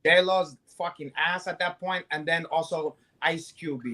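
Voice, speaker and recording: A man's voice: fairly high pitch, fairly even intonation, unhurried, bright, forceful tone. A man with a Brazilian accent co-hosting a show, boasting, swearing and joking. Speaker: co-host. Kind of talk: profane comic banter.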